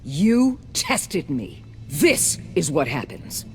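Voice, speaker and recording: An actress playing an imperious middle-aged government director in a video game drama, commanding, clipped and condescending. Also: female voice, deep voice, big pitch swings, slow, darkish tone, exaggerated voice. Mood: cold, dismissive. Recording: music behind the voice.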